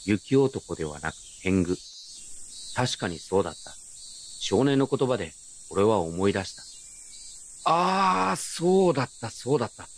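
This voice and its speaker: A man playing a gentle, nostalgic grown man who narrates his memories in a reflective, unhurried radio drama style. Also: lowish voice, big pitch swings, slight background noise. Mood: surprised, recalling. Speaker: narrator and lead actor. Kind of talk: first-person radio drama narration with character dialogue.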